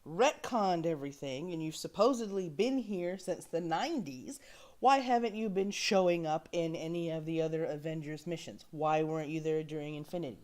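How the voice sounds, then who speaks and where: Low-pitched woman giving emphatic film commentary. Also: a little mellow. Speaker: host of a film reaction show. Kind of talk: casual opinionated commentary on a film clip.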